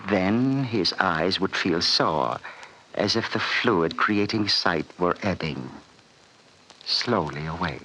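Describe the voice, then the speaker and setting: An actor playing a sinister, menacing villain called the fat man, speaking in a smooth, relishing manner in an old radio drama. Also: low voice, expressive, slow pace, sharp tone, exaggerated voice, low-quality recording. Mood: gloating. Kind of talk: 1940s radio acting. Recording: vintage radio recording with hiss.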